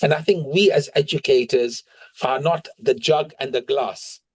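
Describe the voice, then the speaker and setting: A man's voice: mid-range voice, normal speed, breathy edge, dry recording. A man hosting an interview, who affirms his guest with enthusiasm and checks in with "right?" before asking his questions. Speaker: podcast host, interviewer. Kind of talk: conversational interviewing.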